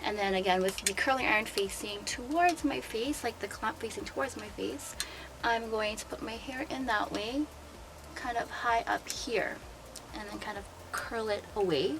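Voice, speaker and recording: A young woman with a sore, hoarse throat, chattily explaining step by step how she curls her hair. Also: on the high side, normal speed. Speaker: beauty vlogger and hairstylist. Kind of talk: casual hair tutorial. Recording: noticeable background noise.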